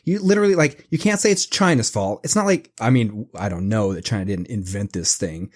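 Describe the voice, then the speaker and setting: A man in casual podcast chat, reasoning in an even-handed way and laughing as he argues. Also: on the low side, fairly quick.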